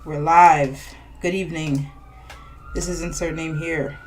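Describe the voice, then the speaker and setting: A woman co-hosting a casual podcast, animated and quick to laugh, with a voice that turns raspy at times. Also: deep voice. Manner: animated, exclaiming, laughing often. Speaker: podcast co-host. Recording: distant mic, faint ambient noise.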